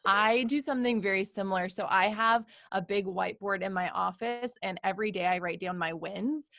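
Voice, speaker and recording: A woman's voice: medium pitch, fairly quick, creaky, a bit bright, no room sound, studio quality. A woman hosting a podcast as a coach, chatting in an upbeat, encouraging way and often checking in with "right?". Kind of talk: casual interview chat. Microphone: video call.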